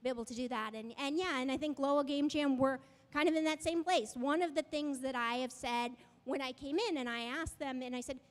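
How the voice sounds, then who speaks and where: A woman's voice: high voice, fairly even intonation, fairly quick, few pauses. A woman speaking at a game developer meetup in a conversational way, often restarting and tagging her points with "right?". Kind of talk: casual talk to an audience. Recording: long reverb of a hall.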